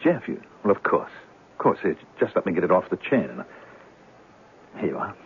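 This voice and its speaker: An actor playing a concerned, patient friend, gentle and coaxing, in a 1970s radio drama. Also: male voice, sits low, sing-song, normal speed. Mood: hopeful, puzzled. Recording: tinny, lightly noisy old radio recording.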